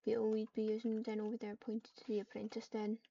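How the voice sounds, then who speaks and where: A woman narrating an online roleplay game in halting, storybook-style phrases, switching between description and character dialogue. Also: on the high side, completely flat delivery, vocal fry, mellow, a little hiss or hum. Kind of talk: live roleplay narration mixed with acted dialogue. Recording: muffled lo-fi mic, distant.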